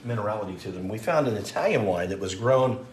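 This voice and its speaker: A man's voice: on the low side, lively intonation, fast. A middle-aged man hosting a casual live cooking demo, chatting and greeting viewers by name as he works. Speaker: cooking show host. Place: home kitchen. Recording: echoey kitchen with faint noise.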